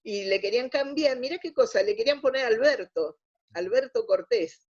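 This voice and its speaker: A woman in her sixties with a Buenos Aires Spanish accent reminisces conversationally as an interview guest, telling stories expressively with searching pauses. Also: on the high side, lively intonation, darkish tone, breathy edge. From Argentina. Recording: dull lo-fi audio.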